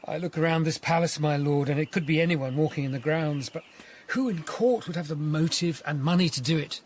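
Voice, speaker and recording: An actor playing an earnest, dutiful Tudor lawyer-detective who narrates his case in a radio drama, with an English accent and a measured, articulate delivery. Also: on the high side, slight background noise, natural voice. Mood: probing, urgent. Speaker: narrator and lead character. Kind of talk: radio drama acting and first-person narration.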